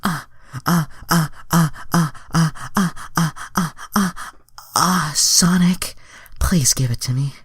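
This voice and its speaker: A man voicing an eager young female alien heroine from fan fiction in an exaggerated, pleading falsetto. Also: takes it slowly, a bit bright, breathy edge, dry recording, clean studio sound, full character voice. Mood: gushing, pleading.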